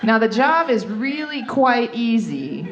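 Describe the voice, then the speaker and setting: Woman doing lively stand-up comedy. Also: on the high side, takes it slowly, highs cut off, background noise. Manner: candid, bawdy storytelling with acted-out asides. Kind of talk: stand-up comedy routine to a live audience. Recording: live comedy club with audience.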